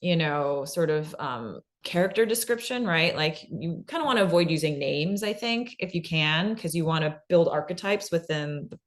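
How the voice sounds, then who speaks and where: A woman's voice: low voice, animated, moderate pace, few pauses. A woman presenting in an informal workshop style, conversational and often checking in with the listener as she explains.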